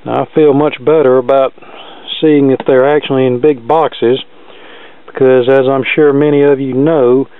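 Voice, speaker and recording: Guy doing casual unboxing chat, plainspoken and folksy, mid pitch, muffled dry sound. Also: smooth, no echo. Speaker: video host, record collector. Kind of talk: casual unboxing commentary with practical advice.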